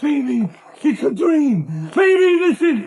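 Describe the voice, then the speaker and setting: An actor playing a cowardly, pleading small-time crook, fearful and halting, in 1930s radio melodrama. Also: male voice, very high-pitched, big pitch swings, vocal fry, a little mellow, heavily acted. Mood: despairing. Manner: fearful, halting, repeats words. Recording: vintage 1930s radio broadcast.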